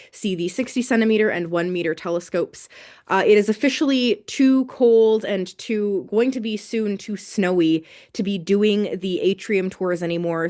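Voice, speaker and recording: A woman co-hosts a live science radio show, her voice pitched in the middle for her sex. She explains event details in a friendly, informative way with a few fillers. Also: darkish tone. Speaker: radio co-host.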